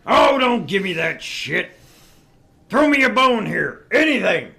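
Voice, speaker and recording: A man's voice: high-pitched, sing-song, a little slow, vocal fry, pushed and bright, heavily acted. A man voicing a brash, crude, sleazy middle-aged tabloid editor in a visual novel read-through, with a pompous, pushy manner.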